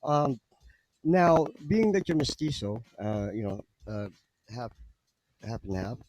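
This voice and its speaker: A man's voice: mid-range voice, very animated, takes it slowly, darkish tone, poor audio. A man hosting a casual interview, asking loose, laid-back questions and often saying "right". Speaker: podcast host.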